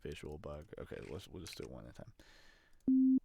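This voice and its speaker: A man's voice: very deep voice, huge pitch swings, moderate pace, gravelly, warm tone, slight background noise. A man giving a casual, unscripted audio production tutorial, speaking hesitantly as he thinks aloud at his computer. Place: indoors at a computer.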